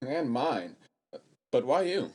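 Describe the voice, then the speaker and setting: A man's voice: medium pitch, sing-song, slow, a little mellow. A man playing an earnest, polite, easily shocked young man in a radio play, proper and pleading. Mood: wry.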